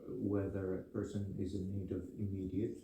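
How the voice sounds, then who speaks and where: A man's voice: low-pitched, level tone, normal speed, warm tone. Hesitant man in an echoey room. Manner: hesitant, polite, reassuring. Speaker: interviewer assessing psychiatric need. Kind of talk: official assessment interview. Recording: echoey room, faint noise and clicks.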